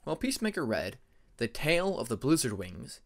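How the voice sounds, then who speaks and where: A man's voice: mid-range voice, sing-song, brisk. A man reading a scripted fantasy story as an audiobook narrator.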